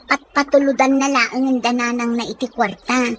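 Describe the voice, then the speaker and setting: A woman's voice: on the high side, steady tone, normal speed, a bit bright, a little hiss or hum, lightly acted. A woman with an Ilocano Filipino accent playing an outspoken, teasing village best friend in an audio drama, blunt and prodding. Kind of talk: audio comedy-drama acting.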